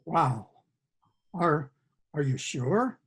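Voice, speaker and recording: A man playing a bewildered, gullible patient, earnest and halting, in a comic play reading over a video call. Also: fairly high pitch, expressive, a bit bright, slightly rough, full character voice. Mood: anxious, stunned.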